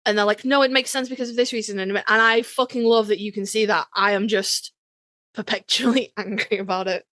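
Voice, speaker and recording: A woman's voice: mid-range voice, steady tone, a little fast, bright, forceful tone, no echo, clean studio sound. A woman co-hosting a podcast, giving blunt, sweary opinions in casual fan chat. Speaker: podcast co-host.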